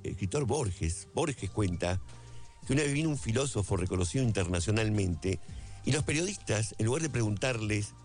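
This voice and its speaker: A man with an Argentine Spanish accent speaks in a fairly low voice, reflective and unhurried in his reasoning. He circles back on key phrases to stress them, like a spoken essay. Faint music plays quietly beneath him. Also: animated. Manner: reflective, repeats phrases for emphasis.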